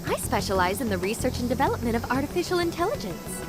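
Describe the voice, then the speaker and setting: A woman playing a bubbly, eccentric adult AI researcher in an animated game dub, breezy, chatty and enthusiastic. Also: a little high, quick pace. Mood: breezy, curious. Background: music.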